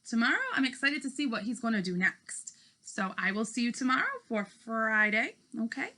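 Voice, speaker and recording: A woman's voice: on the high side, moderate pace, bright, forceful tone. A woman reading aloud and explaining like a schoolteacher, stressing key words and checking in with her listeners. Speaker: teacher reading aloud to fifth graders. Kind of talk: read-aloud lesson, storybook narration and informal explaining.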